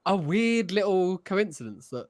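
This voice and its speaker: Southern English man, slightly higher voice. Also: sing-song, a little slow, a little mellow. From southern England. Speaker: podcast host. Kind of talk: casual chat.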